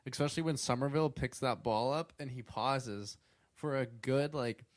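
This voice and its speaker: A young man co-hosting a casual sports podcast, laid-back and quick to get excited and laugh. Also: medium pitch, dry recording. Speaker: podcast co-host.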